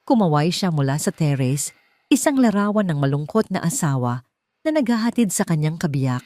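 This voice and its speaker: A woman's voice: low voice, big pitch swings, normal speed, warm tone, dry recording. A woman telling a first-person drama story in a scripted, dramatic and bitter way.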